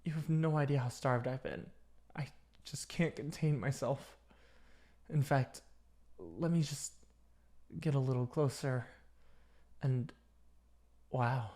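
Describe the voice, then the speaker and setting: A performer with a mid-low voice voicing an obsessive, dominant vampire lab technician in an intimate, chatty ASMR roleplay. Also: slow, long pauses, a little mellow, natural voice. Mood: hungry, infatuated.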